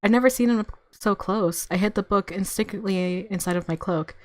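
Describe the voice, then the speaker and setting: A woman narrating a visual novel aloud as a game streamer, with expressive reactions and music underneath. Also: medium pitch, a little fast, no room sound.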